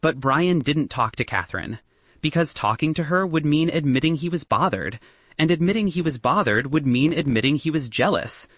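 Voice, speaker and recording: Animated, slightly husky male audiobook narrator. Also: on the high side, moderate pace, no echo. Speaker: story narrator.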